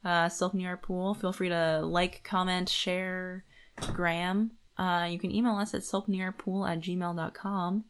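A woman's voice: on the low side, level tone, measured pace, slight background noise. A woman co-hosting a podcast, discussing a book casually and reasoning her points through in a conversational way. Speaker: podcast co-host.

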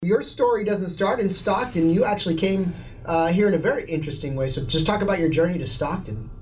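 A man's voice: high-pitched, brisk, slight background noise, low-quality recording. A middle-aged man co-hosting a local podcast and interviewing guests, talking casually with plenty of fillers. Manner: relaxed, friendly, filler-heavy. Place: small podcast studio.

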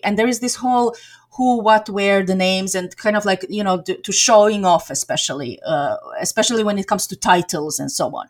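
A middle-aged woman originally from Serbia, answering in a casual interview as a game community organizer, talking in a chatty way with many fillers and restarts. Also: medium pitch.

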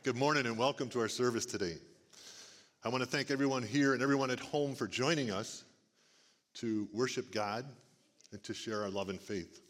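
A middle-aged man with a big booming voice, speaking to a church congregation in a folksy preaching manner. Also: normal speed, a bit bright. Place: church sanctuary. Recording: long reverb of a church hall. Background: church hall.

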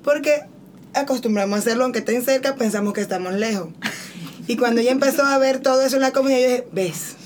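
A woman's voice: on the high side, fast talker, darkish tone, a little hiss or hum. A woman with a Dominican accent, chatting casually and emphatically as an interview guest. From Dominican Republic. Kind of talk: casual conversation.

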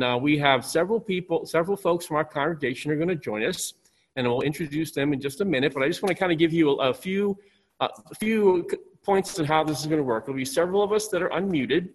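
A male pastor talks from a church stage, his fairly high voice ringing through the hall's long reverb. He hosts a panel in a loose, halting way, full of fillers and restarts. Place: church stage, far from listeners.

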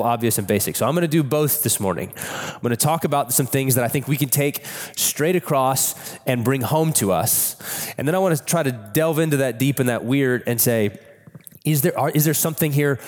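A man with a cold, hoarse, preaching a sermon in a church in a candid, conversational way. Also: mid-range voice. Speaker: pastor. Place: church sanctuary. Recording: long reverb of a church hall.

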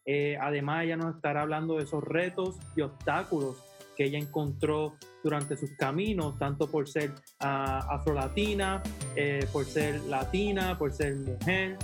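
A man's voice: on the high side, steady tone, moderate pace, far from the mic, soft background music. A man co-hosting an interview podcast who introduces the guest eagerly, often adding "eh" and "¿verdad?". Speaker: co-host.

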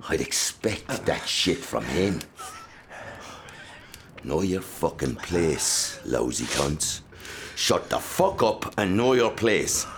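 A man's voice: a bass voice, very animated, a little slow, gravelly, slight background noise, exaggerated voice. An actor playing a domineering, brutal father who bullies his sons, speaking with a sneering, menacing manner. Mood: furious.

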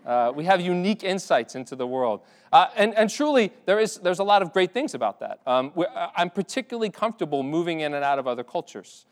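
Pastor, male, slightly higher voice, smooth resonant tone; casual, halting sermon delivery. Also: very animated. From Ireland. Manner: conversational, many restarts and fillers. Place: church sanctuary.

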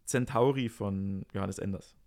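A young man co-hosting a casual podcast, relaxed and easygoing, with frequent fillers. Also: sits low, unhurried. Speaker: podcast co-host, jazz musician. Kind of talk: casual chat.

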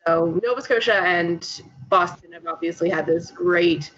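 A woman's voice: on the low side, normal speed, fairly bright tone. A woman with a Canadian accent speaking as a guest in a casual interview, chatty and explanatory. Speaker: interview guest, startup founder. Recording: dull lo-fi audio with clear background noise.

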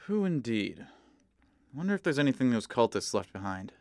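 A man playing a brooding kobold detective in a noir audio drama, wry and terse, with dramatic pauses. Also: mid-range voice, very animated, gravelly, dry recording, clean studio sound. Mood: pondering. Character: brooding male kobold detective, hard-boiled noir sleuth.

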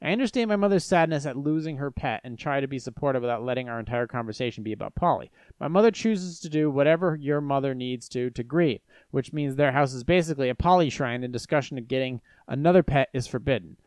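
A man's voice: mid-range voice, fast talker, hardly any pauses, a little mellow, smooth, dry recording. A young man reading listener letters aloud and giving blunt, irreverent advice. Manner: blunt, irreverent and swearing, with many restarts. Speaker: advice show host.

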